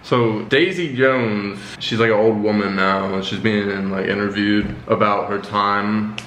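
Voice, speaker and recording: A young adult man chatting casually as a vlog guest, improvising explanations haltingly. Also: on the low side, fairly even intonation, fairly bright tone. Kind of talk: casual chat. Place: small indoor room at home. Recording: roomy echo with clear background noise.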